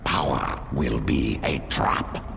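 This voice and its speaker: A man voicing a huge, ancient, stoic alien beast in a video game, growling slow, solemn, terse sayings. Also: a bass voice, expressive, a bit bright, heavily acted. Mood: grave. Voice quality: gravelly, growling. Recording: faint background noise.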